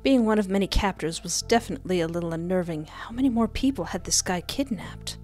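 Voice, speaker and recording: A woman's voice: fairly low pitch, fast talker, darkish tone. A young woman reading a visual novel aloud and reacting with cheeky, sassy commentary. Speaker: streamer, narrator. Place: indoors at a desk. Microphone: headset and close desk microphone. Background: faint game music.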